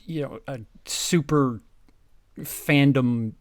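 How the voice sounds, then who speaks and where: A man co-hosting a comedy reading, chatting and joking casually, often halting as he searches for words. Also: mid-range voice, no room sound, studio quality. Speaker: co-host reading the male parts. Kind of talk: casual chat and joking commentary.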